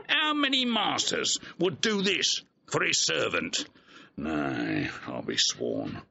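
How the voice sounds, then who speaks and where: An actor playing an earthy, aggrieved clownish servant in a classical comedy audio drama, rambling theatrically. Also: male voice, mid-range voice, vocal fry, bright, forceful tone, full character voice. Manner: rambling, theatrical, conversational soliloquy with mimicked voices. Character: comic male clownish servant scolding his dog, aggrieved, earthy. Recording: dull, slightly noisy restored old recording.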